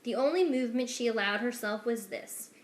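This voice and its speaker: A woman reading a children's story aloud in an expressive narrating style. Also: on the high side, a little fast. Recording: echoey room.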